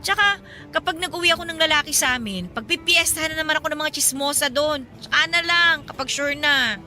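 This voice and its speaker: A woman's voice: high-pitched, brisk, bright, forceful tone. An actress playing a practical, guarded young woman from the province in a radio drama, speaking conversationally and matter-of-factly. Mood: firm, dismissive. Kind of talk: Tagalog radio drama acting. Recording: music behind the voice.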